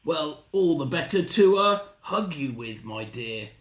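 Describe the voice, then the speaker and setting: A storyteller voicing a big, hungry, sly male wolf with a scratchy growl and drawn-out menace. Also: mid-range voice, animated, pitched above the performer's own voice, natural voice. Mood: slyly menacing. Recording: roomy, echoing room.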